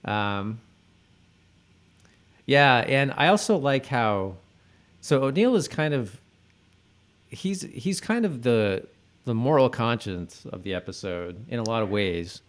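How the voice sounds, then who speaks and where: A man co-hosting a podcast in casual chat, halting, often repeating words and trailing off. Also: medium pitch, expressive. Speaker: podcast co-host.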